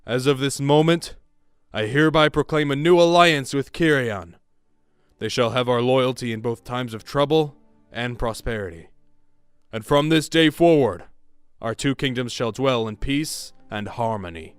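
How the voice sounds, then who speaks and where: A man's voice: medium pitch, moderate pace, smooth, dry recording, faint music behind, lightly acted. A man playing a proud, gracious king in an audio drama, speaking in a formal, proclaiming manner. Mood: proud, solemn. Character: proud, gracious fairy-tale king addressing his people.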